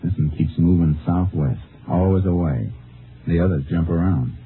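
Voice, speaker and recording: An actor playing a determined Texas Ranger in a 1950s radio drama, an American with a folksy, clipped, understated delivery. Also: male voice, low voice, normal speed, slight background noise, natural voice. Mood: earnest, determined. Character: laconic, determined male Texas Ranger investigator, also narrating. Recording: muffled old radio recording, narrow band.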